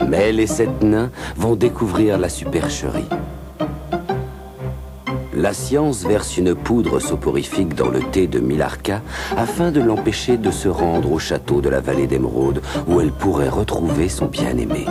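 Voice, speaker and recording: A man's voice: low-pitched, expressive, a little slow. A man narrating a cartoon recap in a dramatic, questioning tone. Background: music.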